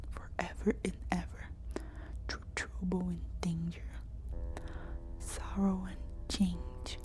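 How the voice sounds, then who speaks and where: A woman reading a story aloud as whispered ASMR narration, in short, deliberate phrases. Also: mid-range voice, darkish tone, rough recording. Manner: slow, deliberate delivery in short, separated phrases.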